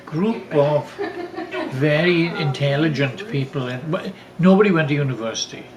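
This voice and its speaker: An older man with a Welsh accent tells family stories casually, often halting to search for words and repeating phrases. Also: on the high side, rough recording. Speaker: father telling family stories. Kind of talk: casual reminiscing storytelling.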